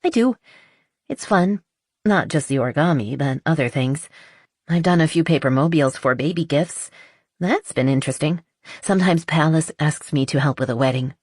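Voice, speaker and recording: A woman voicing a spirited, playfully stubborn female artist in an audiobook romance, frank and animated. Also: sits low, moderate pace, dry recording, clean studio sound. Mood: chatty, enthusiastic. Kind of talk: audiobook character dialogue.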